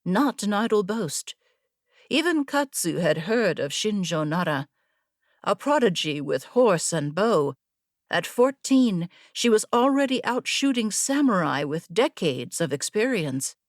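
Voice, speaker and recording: A woman reading scripted fiction as an audiobook narrator, in a measured, deliberate way. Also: mid-range voice, big pitch swings, dry recording, clean studio sound.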